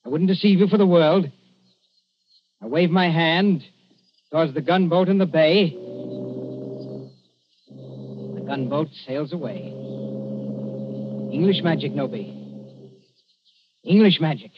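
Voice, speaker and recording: An actor playing a wily, commanding English adventurer in 1940s radio style, speaking persuasively and deliberately in simple phrases. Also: male voice, on the high side, animated. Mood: smooth, triumphant. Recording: muffled, noisy 1940s radio recording.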